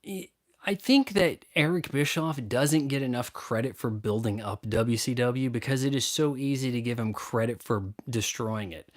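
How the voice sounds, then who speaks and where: Blunt, rambling man hosting a live Q&A. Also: mid-range voice. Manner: blunt, opinionated, rambling with frequent restarts. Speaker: live stream host answering viewer questions. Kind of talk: casual live chat.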